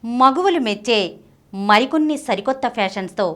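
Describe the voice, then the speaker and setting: A woman's voice: a little high, animated, normal speed. A woman presents a scripted fashion programme, speaking directly to viewers as the host. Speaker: presenter of a women's fashion channel.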